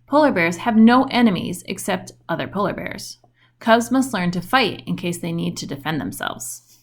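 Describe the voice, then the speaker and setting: A woman reading a children's book aloud in an expressive storytelling style with questioning intonation. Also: lowish voice, moderate pace, vocal fry.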